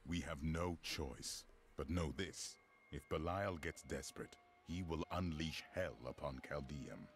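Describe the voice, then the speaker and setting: Very deep, ominous sage. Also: male voice, measured pace. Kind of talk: dramatic video game acting. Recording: faint ambient noise behind.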